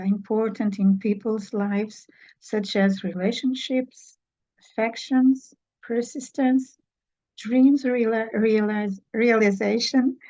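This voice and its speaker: Slow-talking Portuguese woman author. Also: medium pitch, darkish tone, lo-fi sound. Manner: hesitant, many fillers, laughs often. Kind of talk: interview answers.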